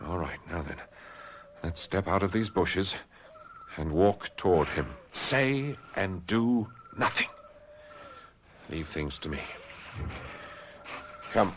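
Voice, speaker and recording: An actor playing a cautious, loyal physician in a radio drama, with an earnest, formal and measured manner. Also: male voice, low voice, a little hiss or hum. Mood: tense, cautious. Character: cautious, loyal physician, reasoning gentleman friend. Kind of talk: old-time radio drama acting.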